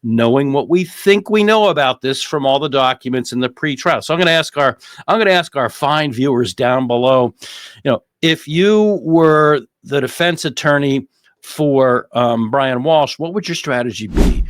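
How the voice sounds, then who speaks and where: A man's voice: medium pitch, expressive, normal speed, no echo. A man hosting a legal commentary show, talking conversationally, often repeating phrases and posing rhetorical questions.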